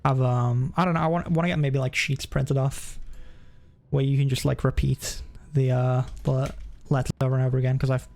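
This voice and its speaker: A man's voice: medium pitch, little pitch movement, a little fast, gravelly, darkish tone. A young man from the United Kingdom streaming a game, chatting casually with viewers in a rambling, hesitant way, often correcting himself and joking. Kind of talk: casual chat with stream viewers while gaming.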